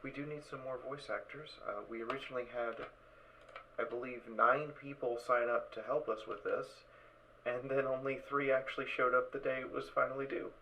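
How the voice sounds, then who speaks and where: A man's voice: mid-range voice, steady tone, moderate pace, sharp tone. A man hosting an online dramatic reading, chatting casually and somewhat hesitantly as he makes rambling announcements to the group. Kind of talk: casual livestream chat after a show. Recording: muffled, noisy online voice-chat stream.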